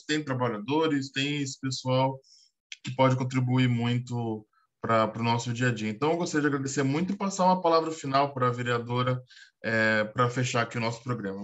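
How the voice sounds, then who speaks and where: A man hosting a radio interview, talking in a halting way with many restarts. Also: mid-range voice, a bit bright. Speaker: radio show host.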